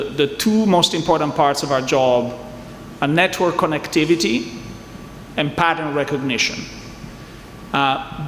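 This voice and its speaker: A man's voice: fairly high pitch, slight background noise. A middle-aged man from southern Italy with an Italian accent, a venture investor chatting and joking with a conference audience. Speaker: venture capital investor on a panel. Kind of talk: conference fireside chat. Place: conference hall. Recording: echoey hall, faint ambience.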